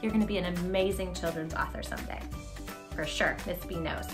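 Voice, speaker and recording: A woman in her thirties chatting to young children as a story time host, in an expressive, exaggerated, affectionate manner. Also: lowish voice, a little fast, music in the background. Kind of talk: animated chat to young children. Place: living room sofa, indoors.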